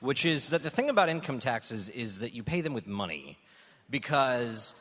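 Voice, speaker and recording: A man's voice: medium pitch, sing-song, normal speed, fairly bright tone. A male comedian on a live panel, riffing with mock indignation. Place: large hall on stage. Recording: echoey live hall.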